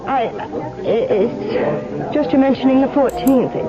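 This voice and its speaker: A woman's voice: high-pitched, very animated, slow pace, exaggerated voice. An American actress playing a guarded, uneasy young woman in a 1970s radio drama, hesitant and stammering. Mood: uneasy, evasive. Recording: dull old radio recording, loud music.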